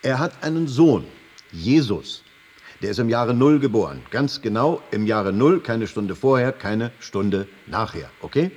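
An actor playing a brisk, businesslike prison chaplain in a German radio drama, explaining things in short, didactic phrases with glib confidence. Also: male voice, mid-range voice, animated, darkish tone, husky, dull audio. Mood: brisk, instructive. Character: brisk, businesslike male prison chaplain, glibly simplifying doctrine. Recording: long hollow reverberation.

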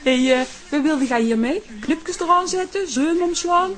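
An actress playing a bold, chummy, flirtatious seamstress with a southern Dutch Brabant accent, in a comedy radio drama. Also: female voice, extremely high pitch, animated, normal speed.

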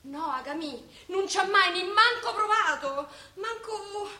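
A woman's voice: very high voice, animated, slow pace, bright, forceful tone, full character voice. An actress playing a blunt, exasperated middle-aged woman with a Roman accent in a radio play. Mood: doubtful, complaining. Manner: blunt, complaining. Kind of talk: radio theatre acting in dialect. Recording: roomy, faintly noisy stage recording.

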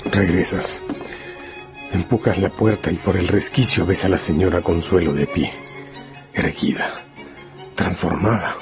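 A man's voice: sits low, fairly even intonation, measured pace, pauses often. A man narrating a gothic radio drama in a solemn, suspenseful, literary style. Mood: solemn, hushed. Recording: radio play with music underneath.

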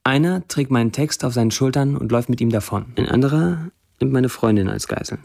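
An actor playing a sardonic Syrian blacksmith who writes Facebook posts, delivered as a literary monologue in a radio play. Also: male voice, medium pitch, brisk, gravelly, a little mellow. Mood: wry.

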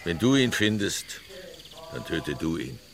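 Slow, gravelly old chief. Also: male voice, deep voice, very animated, crowd noise, heavily acted. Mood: curt, impatient. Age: older. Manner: slow, curt, grave delivery. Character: weary, distrustful Osage chief, stern and curt. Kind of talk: western radio drama acting.

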